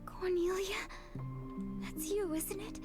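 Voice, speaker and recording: Sad scared little game girl, super high voice, slow, loud music under it. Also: steady tone. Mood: weak, uneasy. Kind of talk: dramatic game voice acting.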